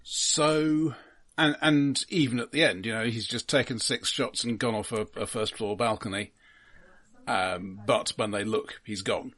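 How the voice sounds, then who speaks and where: A husky-voiced man of medium pitch talks through films as a podcast co-host. His delivery is analytical but casual, halting and doubling back on words as he builds his argument. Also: fairly even intonation, a bit bright. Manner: halting, repeats words as he argues. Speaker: film discussion podcast co-host. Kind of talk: casual analytical film discussion.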